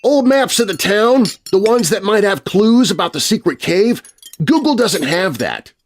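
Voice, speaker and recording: A man's voice: sits high, very animated, measured pace, pitched above the performer's own voice. A man voicing an eager, stubborn young boy in a children's scary story, with music behind him. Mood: eager, demanding.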